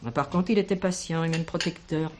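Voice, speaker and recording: An actress playing an older village schoolteacher in a French radio drama, kindly and protective, speaking in a measured, expressive way. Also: female voice, sits low, slight background noise, lightly acted. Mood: protective, insistent.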